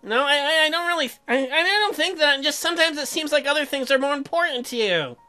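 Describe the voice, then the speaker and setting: Guy putting on a sulky young colonist husband for a game, plaintive, halting, pitched up. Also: expressive, pushed and bright, faint music behind, full character voice. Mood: apologetic. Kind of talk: exaggerated visual novel dialogue reading.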